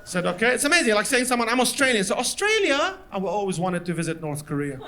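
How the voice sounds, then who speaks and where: A man from Lebanon with a Lebanese accent, doing animated stand-up storytelling on stage and acting out the people in his story. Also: high voice, quick pace, background noise. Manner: animated, acts out voices of others in anecdotes. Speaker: stand-up comedian. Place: stage before a live audience.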